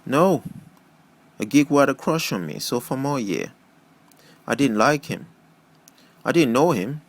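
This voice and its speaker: A man's voice: medium pitch, animated, a little slow, pitched above the performer's own voice. A man voicing a wry, nostalgic teenage girl gossiping with her friend in an audiobook. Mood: nostalgic, chatty. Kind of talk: audiobook character dialogue.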